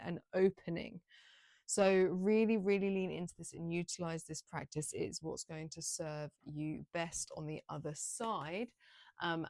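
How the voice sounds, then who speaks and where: A woman giving a casual, improvised tarot reading, rambling along with gentle, encouraging spiritual guidance. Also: on the low side, no room sound. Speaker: tarot card reader, channel host.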